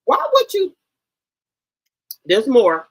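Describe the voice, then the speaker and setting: Husky woman in her 50s doing a chatty gossip livestream, voice jumps all over. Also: a little high, wildly swooping pitch, extremely slow. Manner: chatty, talks straight to her viewers. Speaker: livestream host.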